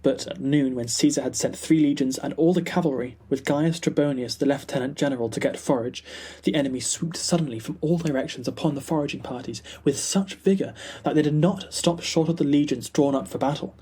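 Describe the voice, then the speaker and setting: A young man giving a formal, deliberate dramatic reading of a historical war narrative. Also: on the high side, mellow, breathy edge. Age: young adult. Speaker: student reader.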